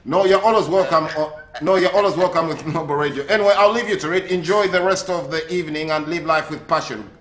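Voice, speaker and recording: A man's voice: fairly high pitch, fast talker, few pauses, fairly bright tone, roomy sound. A man from Zambia hosting a sports radio show, asking friendly questions with many hesitations and fillers. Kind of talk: radio phone interview. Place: living in the UK.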